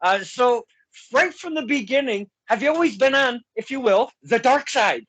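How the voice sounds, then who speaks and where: A man's voice: extremely high pitch, moderate pace, a bit bright, breathy edge, dry recording, low-quality recording. A man hosting a podcast, asking questions in a casual, chatty way with frequent laughs and asides to listeners. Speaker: podcast host. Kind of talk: casual interview.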